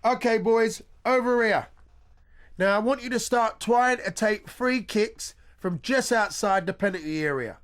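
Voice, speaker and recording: Man acting a shouting high-pitched male PE teacher. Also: unhurried. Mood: urgent, instructing. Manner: loud, calling out. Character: male PE teacher shouting instructions to young boys.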